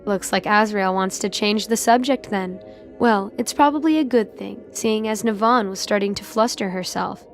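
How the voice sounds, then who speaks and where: A woman narrator with a middle-pitched voice. She reads scripted story narration for an audio drama. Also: normal speed, faint music behind.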